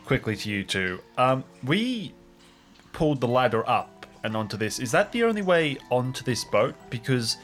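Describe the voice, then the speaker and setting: A man's voice: mid-range voice, sing-song, normal speed, fairly bright tone, faint music behind. A man playing in an improvised audio drama, casual, eager and stammering as he talks. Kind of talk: casual improvised role-play.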